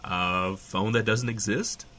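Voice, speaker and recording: An actor playing a sarcastic, hedging, foul-mouthed small-town radio host in a comedic audio drama, trading banter. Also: male voice, on the low side, slow, very noisy. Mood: flustered. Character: irreverent, flustered small-town radio host, adult man.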